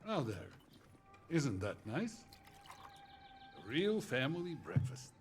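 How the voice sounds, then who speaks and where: An actor playing a proud, gruffly loving old father with a Russian accent in a cinematic war game. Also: a little high, very animated, slow pace, a little hiss or hum. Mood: wry. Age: older. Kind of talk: cinematic video game acting.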